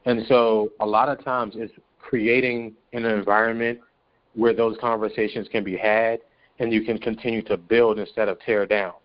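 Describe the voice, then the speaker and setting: A man speaking as a guest in a panel discussion, measured and explanatory. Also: fairly low pitch, flat delivery, highs cut off. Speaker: podcast guest from a stage play production.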